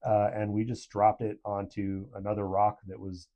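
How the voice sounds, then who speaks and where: A man's voice: fairly low pitch, level tone, moderate pace, lo-fi sound. A man giving a casual product review in a conversational, approving way.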